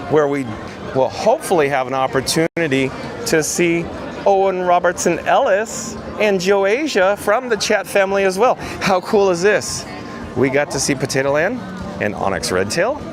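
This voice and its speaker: A man with a hoarse, raspy voice live-streaming from outdoors at a theme park, reading viewer comments aloud in an upbeat, chatty way. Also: on the high side. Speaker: vlogger. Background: music.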